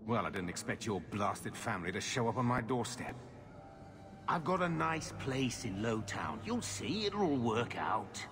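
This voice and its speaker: An actor playing a shifty, weary middle-aged uncle in a video game, gruff and evasive. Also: male voice, mid-range voice, big pitch swings, unhurried. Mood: gruff, defensive. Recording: music playing behind the voice.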